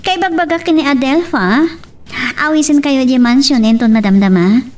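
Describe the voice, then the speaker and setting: A woman with an Ilocano accent playing a lively young lady of a wealthy family in a radio drama. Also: high voice, moderate pace, close to an everyday voice. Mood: chatty, insistent. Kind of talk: Filipino radio drama acting.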